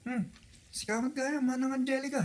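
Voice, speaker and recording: A woman's voice: sits high, fairly even intonation, moderate pace, music in the background, lightly acted. A woman playing a dutiful young adult daughter in an audio comedy drama, speaking with an Ilocano Filipino accent. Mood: eager, defensive.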